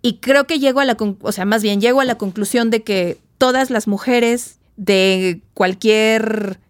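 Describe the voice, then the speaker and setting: Mexican woman host, frank and thoughtful, smooth clear voice, dry sound. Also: a little high, unhurried, no echo. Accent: Mexican Spanish. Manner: thoughtful, frank, occasional swearing. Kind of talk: feminist discussion podcast.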